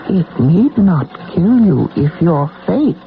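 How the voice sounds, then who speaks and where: A woman's voice: on the low side, very animated, slow pace, vocal fry, exaggerated voice. A woman playing a solemn, mystical old being who alters fate, speaking in a portentous, incantatory way in a vintage radio drama. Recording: muffled noisy old radio broadcast.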